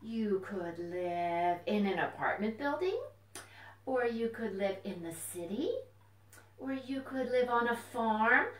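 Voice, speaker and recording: A woman presents storytime to little children. Her voice is high with very animated intonation, and she encourages listeners with simple questions. She sounds some way from the mic in an echoey room. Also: slow, recorded from a distance. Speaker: children's librarian, storytime host. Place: indoors at home.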